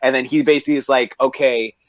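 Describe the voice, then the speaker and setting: A man's voice: medium pitch, fairly even intonation, quick pace, no room sound, dull audio. A man co-hosting a casual chat, telling stories emphatically and swearing freely. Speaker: podcast co-host.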